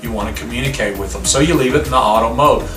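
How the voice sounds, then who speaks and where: A man's voice: medium pitch, quick pace. A man giving a plainspoken, step-by-step product demonstration, often posing a question and answering it himself. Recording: roomy, distant mic, music behind.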